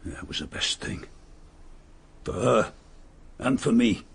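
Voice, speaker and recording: A man's voice: mid-range voice, lively intonation, takes it slowly, gravelly, husky, heavily acted. An actor playing a bitter, mocking male murderer who posed as a lord, in a radio drama, speaking. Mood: sneering. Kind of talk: vintage radio drama acting.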